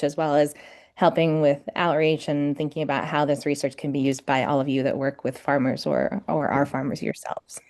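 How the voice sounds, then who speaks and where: A woman's voice: low-pitched, darkish tone, clear, resonant tone. A woman from New York City giving an informal academic webinar lecture over a video call, with frequent fillers. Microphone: computer mic on a video call.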